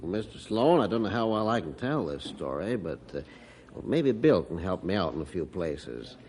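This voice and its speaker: A modest, low-voiced rancher father. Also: sing-song, moderate pace, darkish tone, a little hiss or hum. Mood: reflective. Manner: hesitant, modest storytelling. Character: modest middle-aged male rancher, father of two. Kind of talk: 1950s radio drama acting.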